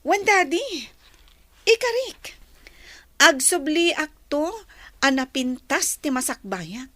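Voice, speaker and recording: A woman's voice: sits high, expressive, takes it slowly, a bit bright, no room sound, exaggerated voice. A woman playing a defiant, jealous, ambitious young daughter in an audio drama, petulant and emphatic. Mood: determined, resentful.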